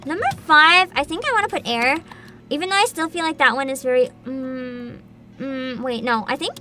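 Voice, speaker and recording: High bright woman streaming, rambly and unsure, lots of little laughs, faint music behind. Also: moderate pace. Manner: thinking aloud, hesitant, laughs often. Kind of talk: casual livestream chat.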